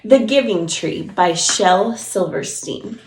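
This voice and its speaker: A woman's voice: mid-range voice, animated, slow pace, reverberant, a little hiss or hum, rough recording. A woman preschool teacher reading a storybook aloud to young children, encouraging and explaining things simply.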